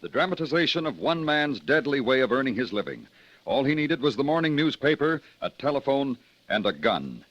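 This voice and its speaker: A man's voice: mid-range voice, very animated, brisk, a bit bright, no room sound, muffled recording. An American man announcing a 1950s suspense radio program in a scripted style. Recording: old radio broadcast recording.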